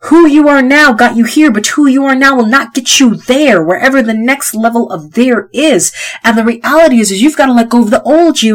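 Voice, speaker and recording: Tired, stuffy-sounding woman podcaster. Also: on the high side. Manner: emphatic, repeats key phrases for emphasis. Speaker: podcast host. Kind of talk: motivational solo podcast talk.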